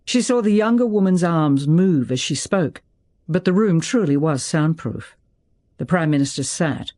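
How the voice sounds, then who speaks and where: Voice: medium pitch, lively intonation, unhurried, darkish tone. A narrator reading a dramatic novel aloud in a scripted storytelling style. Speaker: audiobook narrator.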